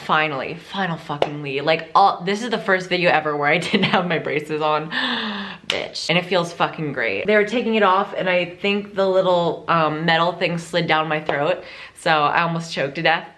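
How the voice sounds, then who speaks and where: Young woman vlogger, lowish voice, chatty, salty, playful, casual tips to camera. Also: normal speed, fairly bright tone. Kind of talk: casual chat.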